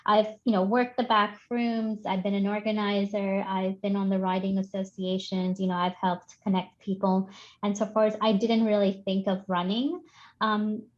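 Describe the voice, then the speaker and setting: A woman in her forties, born in Sri Lanka and raised in Canada, speaking as a guest in a podcast interview, thoughtful with many pauses and restarts. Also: mid-range voice, flat delivery, muffled recording. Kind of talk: casual interview chat.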